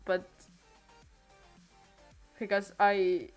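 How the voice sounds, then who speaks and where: A woman's voice: mid-range voice, little pitch movement, extremely slow, muffled recording, faint music behind. A teenage girl streaming from home on a desk microphone, chatting casually and hesitantly, trailing off and restarting her sentences. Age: late teens. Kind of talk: casual rambling stream chat.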